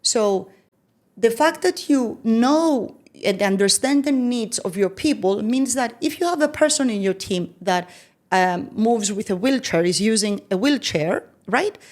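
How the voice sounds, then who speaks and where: A woman's voice: a little high, animated, a little slow, a little mellow. A woman speaking as a podcast guest who explains ideas step by step and often checks in with "right?". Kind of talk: explanatory conversation.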